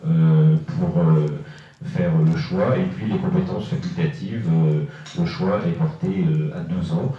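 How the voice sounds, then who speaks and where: A man presenting formally at a local council meeting, explaining in a halting, hesitant way with frequent filled pauses. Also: low-pitched, warm tone. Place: reverberant indoor meeting room. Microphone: distant microphone. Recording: echoey, muffled room, distant mic.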